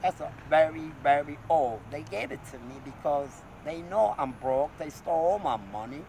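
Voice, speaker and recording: A man's voice: a little high, animated, moderate pace, creaky, poor audio. A middle-aged man from Morocco with a Moroccan accent, talking in an agitated, rambling, repetitive way to a street camera. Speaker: street interviewee. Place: city street outdoors. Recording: outdoor street with loud traffic noise.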